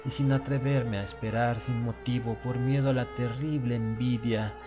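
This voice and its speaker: A man reads a gothic horror audiobook in a solemn, literary first-person style. His delivery is measured and deliberate. Prominent music plays underneath the whole reading. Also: mid-range voice, steady tone. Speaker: audiobook narrator.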